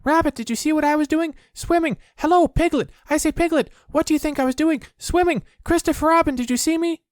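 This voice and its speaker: A man voicing a tiny, boastful young kangaroo boy who squeaks his words out in excited chatter. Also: fairly quick, no echo, clean studio sound.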